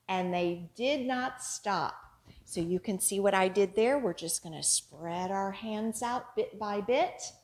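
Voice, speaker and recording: A woman storytime host reading picture books and rhymes to young children, expressive and deliberately clear in her wording. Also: medium pitch. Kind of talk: children's picture book read-aloud and action rhyme.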